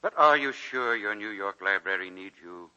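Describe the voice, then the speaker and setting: A man's voice: fairly low pitch, lively intonation, moderate pace, bright, forceful tone, muffled recording. An actor playing an idealistic old male college president, earnest and folksy, in a 1940s radio drama. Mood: kindly, folksy. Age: older.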